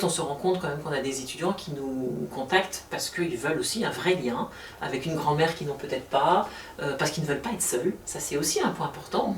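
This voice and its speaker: A woman answers an interview quickly, peppering her speech with hesitations. She sounds a little distant from the microphone in a reverberant room. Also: low voice, a little hiss or hum. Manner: hesitant, many fillers, talks fast. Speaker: housing association representative. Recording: roomy sound from far off.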